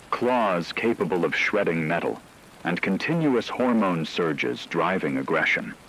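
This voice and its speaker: Man acting as deep-voiced, grave radio news anchor. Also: fairly bright tone, a little hiss or hum, heavily acted, low-quality recording. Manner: solemn, ominous, measured. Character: grave male radio news anchor reporting a werewolf apocalypse. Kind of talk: scripted dramatic news narration.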